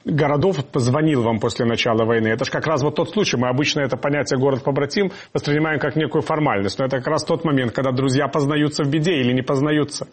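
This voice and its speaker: A man's voice: mid-range voice, fast talker, few pauses. A man hosting a radio interview, asking questions and signing off in a fluent, formal way. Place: television studio.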